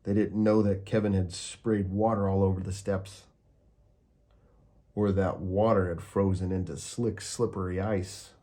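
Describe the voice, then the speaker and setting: A man reading a children's picture book aloud with calm, steady storytelling. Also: low-pitched, unhurried. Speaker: storybook reader.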